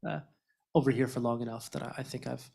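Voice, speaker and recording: A man's voice: mid-range voice, steady tone, fast talker, vocal fry, mellow, breathy edge. A man from Wisconsin, speaking as a panelist in a joking, hesitant way.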